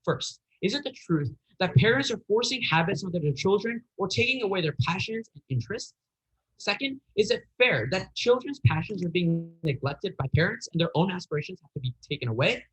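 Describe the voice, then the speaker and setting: A man's voice: high voice, expressive, brisk, fairly bright tone, poor audio. A teenage boy delivering an earnest, slightly hesitant persuasive speech in a contest. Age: teens. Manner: earnest, a little hesitant, occasional fillers and restarts.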